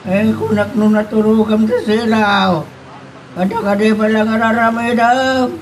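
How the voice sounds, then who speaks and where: A caring father who fusses over his child in a radio drama, played by a man. His voice is high for a man. He is slightly off the microphone. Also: steady tone, measured pace, recorded from a distance, slight background noise, rough recording. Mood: fussing. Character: caring, fussing rural father.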